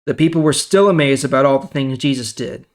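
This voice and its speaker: A man's voice: medium pitch, brisk. A man reading the Bible and explaining it in a casual, conversational way as host.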